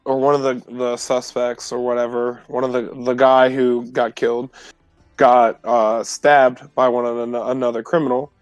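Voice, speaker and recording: A man's voice: medium pitch, level tone, moderate pace, clear, resonant tone, no echo, soft background music, natural voice. A man playing an earnest teenage adventurer in a tabletop game, explaining himself in a hesitant, deferential way. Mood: careful.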